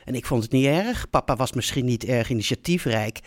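A woman's voice: very deep voice, animated, fairly quick. A woman acting in a radio play as a mild older mother of grown children, soothing and somewhat hesitant in manner. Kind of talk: naturalistic radio drama acting.

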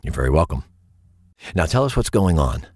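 Very deep-voiced late-night radio host. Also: male voice, very animated, darkish tone, dry recording, studio quality, exaggerated voice. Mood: attentive, sympathetic. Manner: measured, courteous, solemn. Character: male late-night paranormal radio host, smooth, earnest, reassuring guide. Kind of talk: late-night radio monologue and call-in hosting.

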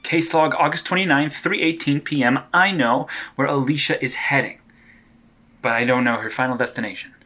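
A wry vigilante detective. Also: male voice, mid-range voice, fairly even intonation, normal speed, pushed and bright. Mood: focused. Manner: dry, wisecracking, dictating terse case logs. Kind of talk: audio drama acting.

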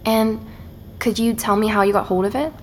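A woman's voice: mid-range voice, steady tone, moderate pace, slight background noise, natural voice. A woman with an American accent playing a curious, polite investigator in a naturalistic audio drama. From New York.

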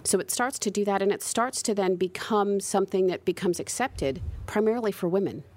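A woman's voice: mid-range voice, brisk, a little mellow. A woman in her forties with an American accent, a researcher answering interview questions and often adding "right?" as she explains. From United States. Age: 40s. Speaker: guest researcher.

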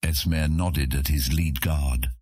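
A man's voice: very deep voice, slow pace, darkish tone, husky, no echo, studio quality. A man reading scripted fantasy fiction as an audiobook narrator, telling the story in the third person.

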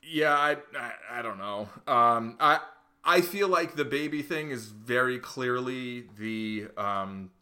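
Man, bright crisp-edged tone, mid pitch, podcast co-host, casual show discussion. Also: slow pace.

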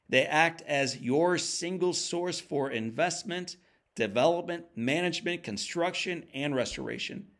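A man's voice: a little high, a bit bright, dry recording, clean studio sound. A man hosting an inspirational podcast, speaking in an earnest, emphatic, motivational way. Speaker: podcast host.

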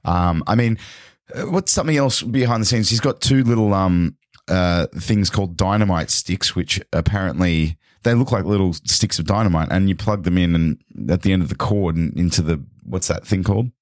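A hoarse British man with a sore throat, chatting and teasing as a guest on a podcast, with many restarts. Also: low-pitched, normal speed, no echo, studio quality. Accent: British English. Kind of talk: casual chat.

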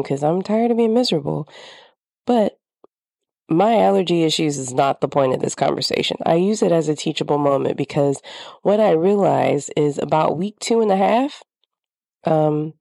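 A woman's voice: low-pitched, animated, normal speed, gravelly, warm tone, no room sound, clean studio sound. A woman podcast host with a slightly congested, nasal voice, talking candidly in a reflective personal monologue.

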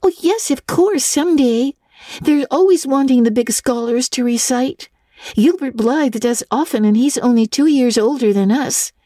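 A woman's voice: high-pitched, unhurried, dark tone, no room sound, clean studio sound, pitched above the performer's own voice. A woman voicing a chatty, good-natured young girl in a storybook, with a chirpy, girlish manner. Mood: teasing.

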